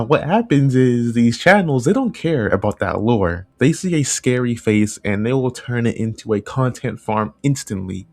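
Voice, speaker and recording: A man's voice: medium pitch, darkish tone. A man giving informal, opinionated video commentary in a conversational way, repeating phrases for emphasis. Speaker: video essay host and analog horror creator. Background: background music.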